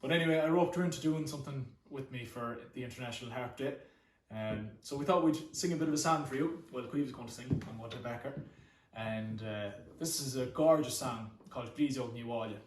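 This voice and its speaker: A man from Connemara with an Irish accent, speaking English though Irish is his first language, chatting casually and warmly fillered as he introduces a song from home. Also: medium pitch, brisk, hardly any pauses, roomy sound, distant mic, slight background noise, poor audio. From Ireland. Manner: chatty, many fillers, repeats words.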